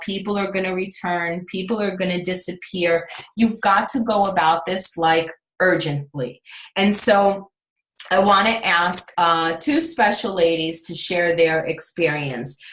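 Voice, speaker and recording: Upbeat woman coaching her team over a video call, roomy echo, lots of questions. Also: mid-range voice. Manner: energetic, coaching, rhetorical questions. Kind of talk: motivational group call.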